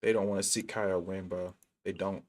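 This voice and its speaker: A man's voice: deep voice, fairly even intonation, a little fast, darkish tone. A man chatting casually on a gaming livestream in laid-back, slangy bro talk. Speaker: game livestreamer. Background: game music.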